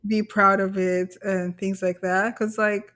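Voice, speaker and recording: A woman's voice: mid-range voice, level tone, unhurried, fairly bright tone. A woman from Kenya, co-hosting a podcast in casual conversation, candid and self-deprecating about herself. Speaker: podcast co-host.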